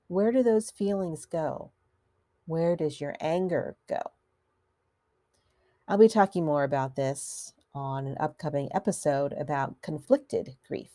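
Low-voiced, gentle woman podcast host. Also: no echo, clean studio sound. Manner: gentle, measured, reassuring. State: stuffy from allergies. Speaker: podcast host and licensed counselor. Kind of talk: solo podcast talk.

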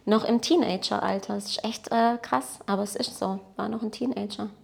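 A woman's voice: medium pitch, steady tone, a little fast, vocal fry, breathy edge. A woman in her thirties from Portugal, a native Portuguese speaker, chatting in a casual interview as a guest, talkative and self-mocking. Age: 30s. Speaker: podcast guest.

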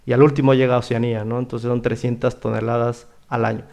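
Gravelly Mexican man hosting an interview. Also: medium pitch, level tone, fast, darkish tone. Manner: rambling, often seeking agreement with tag questions. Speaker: podcast host. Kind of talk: casual conversational interviewing.

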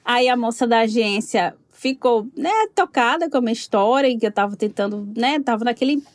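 A woman from Brazil in her thirties, telling her story in a casual interview, animated and laughing often. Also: a little high, brisk, dry recording, clean studio sound.

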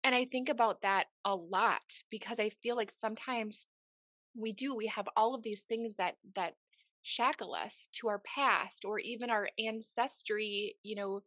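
Bright, upbeat woman podcast host. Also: medium pitch, measured pace, no echo. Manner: enthusiastic, storytelling. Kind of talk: faith-based podcast conversation.

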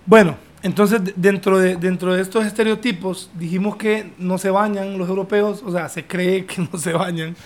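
A man's voice: sits high, steady tone, moderate pace, few pauses. A young man from Honduras, speaking Spanish with a Honduran accent, hosting a casual, joking chat. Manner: joking, chatty, uses vos.

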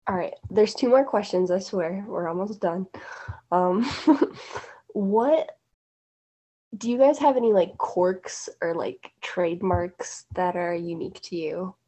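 A teenage girl chatting casually in a group, full of fillers and quick asides. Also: lowish voice, expressive, warm tone. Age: teens. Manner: chatty, filler-heavy, giggly.

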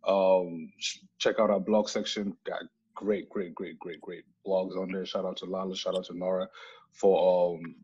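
Husky-voiced man hosting a casual podcast. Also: low-pitched, a little mellow. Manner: informal, slangy, repeats phrases. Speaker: podcast host. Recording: muffled lo-fi audio, faint music.

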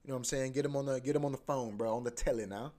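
A man's voice: mid-range voice, rapid-fire, warm tone. A man in his twenties hosting his own podcast, talking casually in a rambling, slangy way with lots of fillers. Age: 20s. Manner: laid-back, slangy, rambling with many fillers. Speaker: podcast host. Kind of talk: casual solo podcast monologue.

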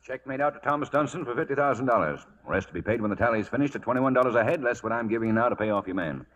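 A genial, businesslike cattle buyer in an old radio western. He is talkative and emphatic, with a very animated medium-pitched voice. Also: racing, lo-fi sound. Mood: genial, concerned. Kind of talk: 1940s radio acting.

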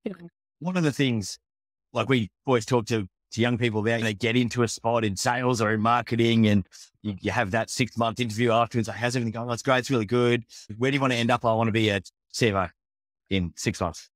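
A man co-hosting a podcast who asks guests questions in a rapid, casual way. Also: medium pitch, no echo. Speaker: podcast co-host. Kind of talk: casual interview chat.

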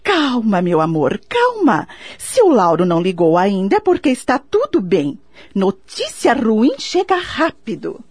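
Actress as doting older neighbor lady, Brazilian, radio drama; very animated, unhurried, fussy cooing. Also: medium pitch, slightly rough, dry recording, exaggerated voice. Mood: kindly, soothing. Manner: fussy, cooing baby talk and scolding. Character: kindly older neighbor lady, doting mother to her dog.

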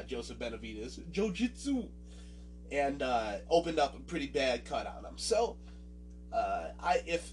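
A man's voice: medium pitch, distant mic, low-quality recording. A man with a nasal voice and a swollen, busted lip talks casually as a solo podcast host, rambling and poking fun at himself. He sits back a little from the microphone, and faint guitar music plays underneath. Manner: rambling, self-deprecating, frequent fillers and restarts.

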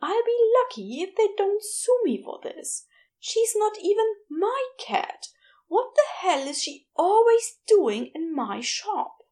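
A woman's voice: very high-pitched, big pitch swings, slow pace, darkish tone, voice pushed up, exaggerated voice. A woman voicing a brash, irritable male shopkeeper with a blunt, exasperated manner in a narrated story.

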